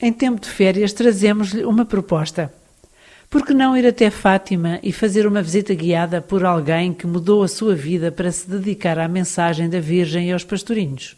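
A woman's voice: lowish voice, steady tone, normal speed, darkish tone. Woman from portugal doing an interview, european portuguese accent, host asking questions.

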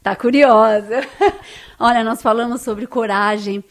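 A woman's voice: fairly high pitch, steady tone, slow pace. A woman with a Brazilian Portuguese accent, a guest answering interview questions in an earnest, enthusiastic way that is often tagged with little questions.

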